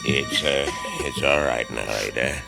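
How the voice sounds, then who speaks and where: A man acting as a calm, folksy family doctor in an old-time radio mystery, reassuring and a little hesitant. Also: a bass voice, unhurried, vocal fry, over music, full character voice.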